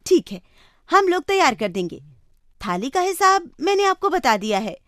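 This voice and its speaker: A woman's voice: extremely high pitch, very animated, moderate pace, a bit bright, no echo, clean studio sound, pitched above the performer's own voice, heavily acted. A woman voicing a polite, capable young sister in a moral story, courteous and assuring in manner. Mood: polite, businesslike.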